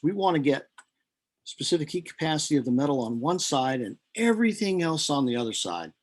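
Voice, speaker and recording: A man giving an informal, deliberate lecture as a chemistry teacher, working through equations step by step. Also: fairly high pitch, a little mellow, slightly rough.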